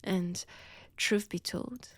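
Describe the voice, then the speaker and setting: A breathy female vampire with a French accent, played by a woman, speaks in unhurried, deliberate phrases. She is seductive and teasing with quiet menace, often slipping toward a whisper. The audio roleplay sounds intimate, close and very dry. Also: mid-range voice, dry recording. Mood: taunting. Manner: slow, teasing, deliberate delivery. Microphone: close, dry microphone.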